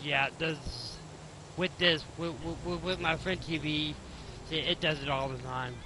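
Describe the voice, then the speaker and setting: A man's voice: fairly high pitch, measured pace, noisy background. A man chatting casually while recording a game, stammering and repeating words, with the sniffles. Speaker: gamer recording gameplay. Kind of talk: casual chat.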